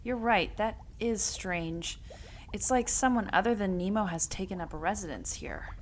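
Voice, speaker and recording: A woman's voice: mid-range voice, normal speed, slight background noise, lightly acted. A woman acting as a curious adventurer in an audio drama, with a conversational, inquisitive way of speaking. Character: bright-spirited, curious female adventurer.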